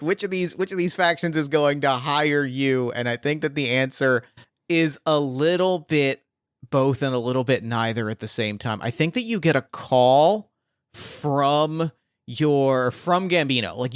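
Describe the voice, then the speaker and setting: Smooth-voiced man running a tabletop game. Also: medium pitch, no echo, studio quality. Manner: thinking aloud with many fillers and restarts. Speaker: game master. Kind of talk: improvising a tabletop game scene.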